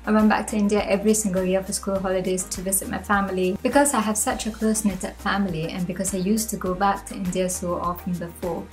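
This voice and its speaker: A young woman from Malaysia, talking to the camera from a room at home. She shares her own reflections in an earnest, chatty way, and her pitch rises now and then. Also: fairly even intonation, fast, few pauses, faint music behind. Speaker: vlogger. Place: home room with bookshelves, indoors.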